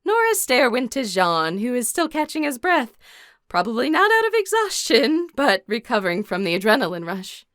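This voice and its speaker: A woman's voice: a little high, big pitch swings, moderate pace, a bit bright, no room sound, clean studio sound. A woman playing a composed, caring teenage warrior girl, narrating an audio drama in the first person and speaking her dialogue.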